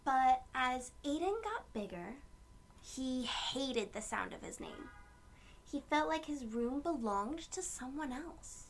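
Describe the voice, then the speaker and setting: A woman reading a picture book aloud to young children, with gentle storytelling phrasing and asides to her listeners. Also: high-pitched, very animated, slow pace, a little hiss or hum.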